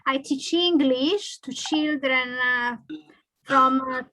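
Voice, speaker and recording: A woman from Italy, an English teacher, introducing herself slowly and hesitantly in a video call. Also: high voice, pushed and bright, poor audio. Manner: slow, hesitant, repeats words.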